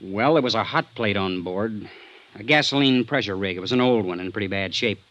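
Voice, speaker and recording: Male actor as defensive young suspect, earnest and touchy, lowish voice, dull dry old-radio recording. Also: fairly bright tone, no room sound, natural voice. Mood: earnest recounting. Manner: defensive, earnest, quick to bristle. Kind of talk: vintage radio drama acting.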